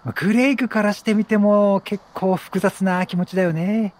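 A man's voice: sits high, steady tone, normal speed, darkish tone. A man doing casual let's play commentary, playful and reactive, bantering with the characters in slang. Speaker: gaming streamer. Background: game music.

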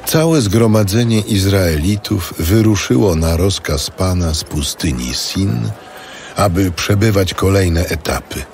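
A man narrating a scripted biblical audio drama in a solemn, measured manner. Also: sits low, expressive, a little mellow, soft background music.

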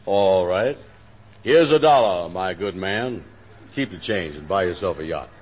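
Deep-voiced, pompous bumbling playboy. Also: male voice, lively intonation, measured pace. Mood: showing off. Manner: pompous, bumbling, folksy ungrammatical speech. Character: boastful, slow-witted man playing a high-class playboy. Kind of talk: 1940s radio comedy acting. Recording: muffled, hissy 1940s radio recording.

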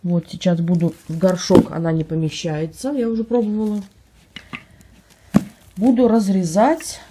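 A woman vlogger with a slightly hoarse voice from a cold, chatting casually at home and describing items she holds up for a phone camera. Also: medium pitch, darkish tone, slight background noise. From Russia. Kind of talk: casual show-and-tell vlog. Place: at home, indoors.

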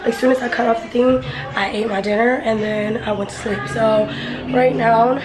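A young woman vlogging casually about her beauty room, chatty and playful, with slang, and a little hoarse. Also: on the high side, fairly even intonation, moderate pace, over music. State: hoarse, voice partly lost. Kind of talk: casual vlog chat. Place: home beauty room, indoors, and outdoors in wind. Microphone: handheld camera at arm's length. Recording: noisy handheld vlog audio.

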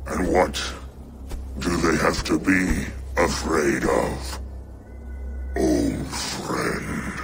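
Huge growling, extremely deep monstrous wolf. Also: male voice, animated, faint music behind, full character voice. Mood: suspicious, menacing. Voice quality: gravelly, growling. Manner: slow, menacing. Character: huge, suspicious, bitter monstrous male wolf. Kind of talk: video game cutscene acting.

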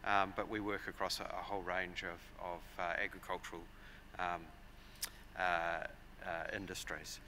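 A man in his forties with a New Zealand accent, giving a measured, explanatory conference talk at a lectern with frequent fillers and restarts. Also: deep voice, level tone, bright, forceful tone, slight background noise. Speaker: conference speaker. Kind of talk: conference presentation. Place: large conference hall, at a lectern. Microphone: lectern microphones.

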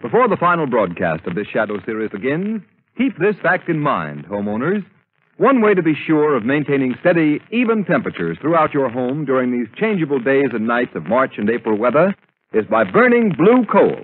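A man's voice: mid-range voice, wildly swooping pitch, fairly quick. A man announcing in a formal, emphatic, scripted 1930s radio style. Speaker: announcer and narrator. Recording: tinny 1939 radio broadcast with music.

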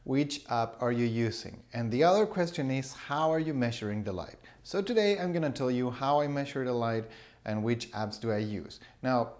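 A man's voice: mid-range voice, lively intonation, normal speed, a little mellow. A man in his thirties chatting casually to camera in a small room, explaining things with fillers and little laughs. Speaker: photography vlogger. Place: small indoor room with shelves. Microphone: camera in front, close framing.

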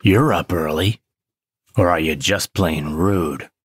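A man's voice: low-pitched, takes it slowly, slightly rough, no room sound, studio quality, full character voice. A man playing a cocky, sly space adventurer with a swaggering, teasing manner in a comedy sci-fi audio drama.

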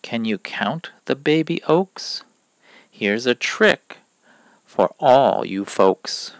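A man reading a rhyming children's picture book aloud in a deliberate, instructive manner. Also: mid-range voice, animated, no echo. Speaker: read-aloud reader.

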